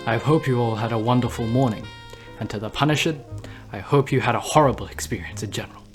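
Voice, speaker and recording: A man's voice: mid-range voice, quick pace, natural voice. Suave, sardonic demon radio host voiced by a man. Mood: smug, mock-welcoming. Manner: suave, mock-polite, sardonic. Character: smug, theatrical male demon radio host of hell. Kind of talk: scripted comedic radio broadcast. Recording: music under the voice.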